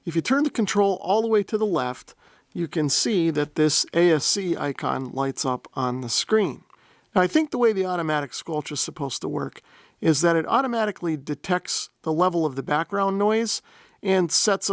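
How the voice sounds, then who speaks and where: A man's voice: on the high side, dry recording, clean studio sound. A man narrating a product demonstration in a methodical, step-by-step explanatory way. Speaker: host of a radio gear review channel.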